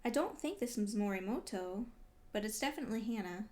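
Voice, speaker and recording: A woman's voice: mid-range voice, animated, a little fast, darkish tone. A woman doing a casual read-along reaction as a vlogger, talking in an exclamatory, emotionally invested way. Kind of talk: casual reaction commentary while reading.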